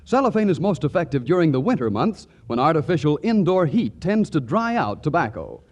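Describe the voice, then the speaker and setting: A man's voice: fairly high pitch, very animated, a little fast, darkish tone, highs cut off. A man working as a radio announcer, delivering a scripted 1940s commercial with polished, emphatic salesmanship.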